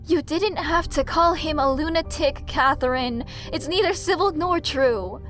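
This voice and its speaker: A woman's voice: high-pitched, measured pace, a bit bright, soft background music. A woman playing an indignant, idealistic rebel in an amateur anime-style audio drama.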